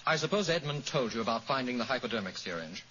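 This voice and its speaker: A man plays a serious young gentleman in a vintage radio mystery. His mid-pitched voice is sober and halting, on a dull recording with steady hiss. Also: lightly acted. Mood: resentful. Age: young adult. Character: Randolph, serious, resentful young gentleman in love. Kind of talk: 1940s radio drama acting.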